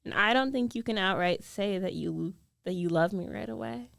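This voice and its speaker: Creaky, intimate lovestruck roommate, acted by a woman. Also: medium pitch, dry recording, clean studio sound, natural voice. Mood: tender confession. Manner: hesitant, emotional, intimate delivery with trailing pauses. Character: jealous lovestruck young female roommate, guarded yet tender. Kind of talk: girlfriend ASMR roleplay, intimate monologue.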